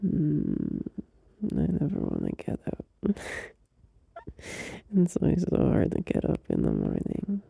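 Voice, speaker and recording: Male performer as a tender young boyfriend at night. Slow, breathy whisper with gravelly fry, close and dry. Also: on the high side, huge pitch swings, no room sound, exaggerated voice. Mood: tender, sleepy. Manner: soft drowsy whisper, unhurried, with small laughs. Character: gentle, loving young boyfriend soothing a partner at night. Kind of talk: ASMR audio roleplay. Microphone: close mic.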